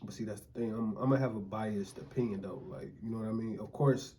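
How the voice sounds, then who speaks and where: A man chatting casually with his wife, laying out his view in a relaxed, reasoning way. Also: on the low side, fast, slight background noise. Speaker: co-host, husband. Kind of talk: casual chat.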